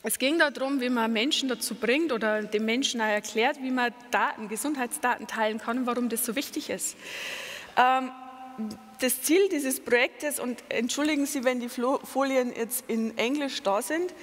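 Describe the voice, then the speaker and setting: A middle-aged woman from Lower Bavaria with a Bavarian accent, a patient advocate giving a conference talk on a headset mic, earnest and explanatory, with many fillers and self-corrections. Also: moderate pace, long reverb tail. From Lower Bavaria, Germany.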